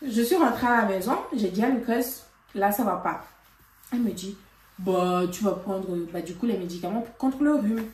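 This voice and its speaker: A woman vlogger telling a story in casual chat, animated and laughing, with lots of fillers. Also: mid-range voice, moderate pace. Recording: roomy, from a distance.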